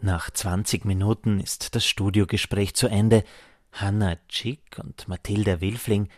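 Low-voiced man narrating radio. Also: fairly even intonation, measured pace, a little mellow, no echo, studio quality, soft background music. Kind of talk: scripted radio documentary narration.

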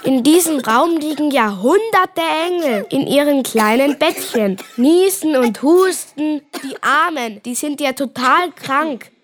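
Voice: high-pitched, animated, unhurried, few pauses, fairly bright tone. A child acting an anxious, fussy schoolboy in a school radio play. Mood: concerned. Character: anxious, fussy schoolboy Max, easily overwhelmed.

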